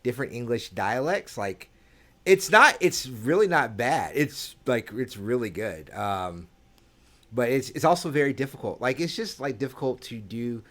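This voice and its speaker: Guy cohost, mid pitch, kinda hesitant, lots of like and um. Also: lively intonation, moderate pace, a bit bright. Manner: hesitant, full of "like" and restarts. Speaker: podcast co-host. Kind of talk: casual chat.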